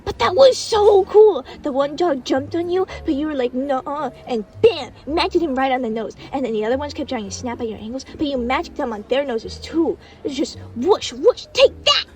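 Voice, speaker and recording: Innocent, eager young child, very animated, rambling, audio roleplay, a little off mic. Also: female voice, high voice, normal speed, few pauses, recorded from a distance, faint music behind. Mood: thrilled. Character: excitable, chatty, innocent young child dreamer.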